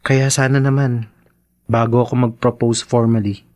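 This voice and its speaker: A man's voice: mid-range voice, little pitch movement, normal speed, darkish tone, natural voice. A man with a Filipino accent playing a devoted, slightly hesitant young boyfriend in a Tagalog radio drama. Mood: nervous, earnest. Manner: hesitant, stammering.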